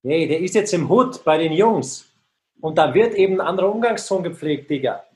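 A man's voice: fairly high pitch, animated, moderate pace. A man co-hosting a podcast, throwing in wry, joking remarks in casual banter. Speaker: podcast co-host.

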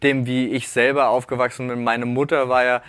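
A man's voice: mid-range voice, flat delivery, fairly quick, fairly bright tone, clean tone, no room sound, studio quality. A man in his thirties, a podcast host, talking in a rambling, reflective way and often correcting himself mid-sentence. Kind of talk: casual chat.

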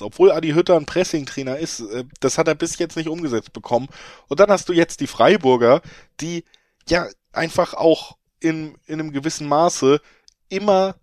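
A man co-hosting a football betting podcast, slightly hoarse from a cold, talking in a rambling, emphatic way and often correcting himself. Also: fairly high pitch, dry recording, studio quality. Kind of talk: casual football analysis chat.

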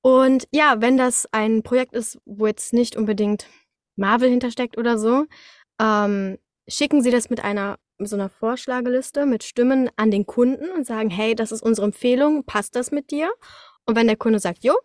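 A woman talking casually as an interview guest, telling things in an animated, conversational way. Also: fairly high pitch, moderate pace, dry recording, clean studio sound. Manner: animated storyteller, acts out short quotes, laughs often.

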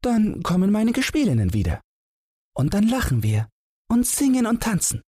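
A man's voice: high-pitched, wildly swooping pitch, normal speed, dark tone, husky, no room sound, clean studio sound, voice pushed up, full character voice. A man voicing a cheerful, curious young princess in a fairy tale audiobook, chatty, playful and artless. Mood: cheerful, carefree.

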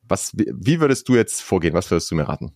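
Man, podcast host, casual upbeat chat, lowish voice, dry close sound. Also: very animated, fast, a little mellow, no room sound. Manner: upbeat, chatty, with frequent fillers and restarts.

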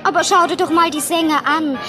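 A woman's voice: very high-pitched, steady tone, brisk, music in the background. A woman voicing a young, spoiled hare princess who whines and asks questions, in a radio play. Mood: quengelig. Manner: quengelig, fragend. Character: junge, verwöhnte Hasenprinzessin. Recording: Hörspielausschnitt mit Musik. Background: Musik.

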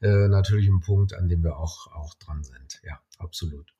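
A man giving conversational interview answers as a guest, searching haltingly for words and mixing in English business jargon. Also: low-pitched, warm tone, dull audio. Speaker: interview guest, company product executive.

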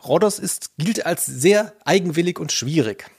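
A man's voice: fairly high pitch, lively intonation, normal speed, vocal fry, darkish tone, slightly rough, dry recording, studio quality. A man co-hosting a podcast, chatting casually. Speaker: podcast co-host. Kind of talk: casual chat.

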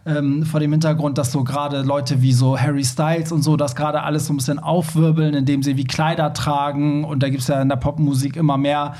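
Male podcast host, casual and chatty, fairly high voice, soft-edged and relaxed timbre. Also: a little fast, few pauses. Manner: chatty, informal.

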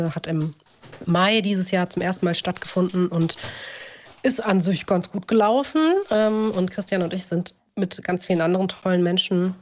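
A woman's voice: fairly low pitch, darkish tone, slight background noise. Chatty woman co-hosting a casual podcast. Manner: chatty, self-interrupting, joking. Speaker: podcast co-host. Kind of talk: casual chat. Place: indoors, remote connection with own microphone setup. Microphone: own clamped desk microphone.

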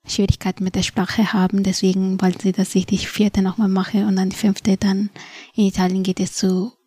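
A woman's voice: medium pitch, level tone, normal speed, warm tone, breathy edge, dry recording. A woman in her twenties from Nepal, a native Nepali speaker, telling her story as a podcast guest, thoughtful and hesitant with many fillers. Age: 20s. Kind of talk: personal story in an interview. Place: podcast studio.

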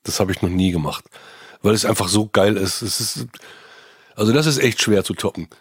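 A man's voice: lowish voice, moderate pace, husky, dry recording, studio quality. A man co-hosting a podcast, reviewing casually in a gushing, informal way. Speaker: podcast co-host.

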